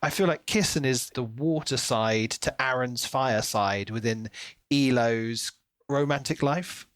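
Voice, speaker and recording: A man co-hosting a podcast, talking through a book in a casual, animated retelling style. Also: mid-range voice, a little slow, dry recording. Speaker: podcast co-host.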